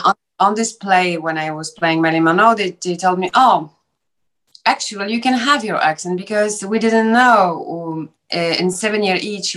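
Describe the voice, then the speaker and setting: A woman with a Polish accent, an actress and director, answering interview questions in a halting way and often stopping to search for words. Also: on the low side, a bit bright. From Poland. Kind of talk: interview guest, casual conversation.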